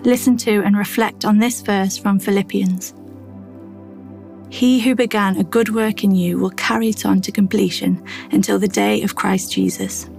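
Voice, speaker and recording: A woman reading a scripted devotional in a measured, reflective manner. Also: medium pitch, steady tone, vocal fry, darkish tone, faint music behind. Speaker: devotional reader.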